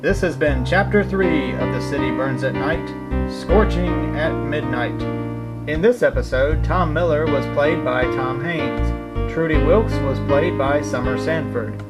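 A man's voice: a little high, measured pace. A man reading scripted closing credits as the announcer of a radio drama. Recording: loud guitar music behind voice. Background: plucked guitar music.

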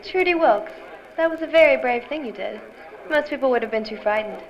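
A woman's voice: high voice, animated, a little fast. An American woman playing a polite, prim young aspiring actress who loves gossip, in a period radio drama. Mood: polite, shy. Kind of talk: 1940s-style radio drama acting. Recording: dull lo-fi sound, loud music behind.

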